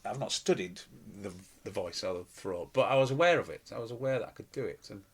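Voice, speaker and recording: A middle-aged man chats as an interview guest, wandering through his stories with plenty of fillers and restarts. His voice sits low and husky, with a slightly breathy edge. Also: lively intonation, fast talker. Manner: rambling, anecdotal, many fillers and restarts. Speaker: pantomime performer and guest. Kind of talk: casual interview chat.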